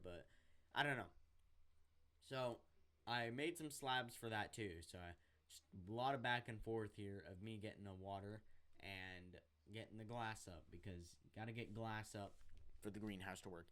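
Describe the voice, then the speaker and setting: A man with a raspy voice who says he is getting sick, rambling and unsure of himself, narrating his game in a casual voice-over through a headset mic. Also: fairly low pitch, pushed and bright. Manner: rambling, self-interrupting, unsure. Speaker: gaming YouTuber narrating. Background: game music.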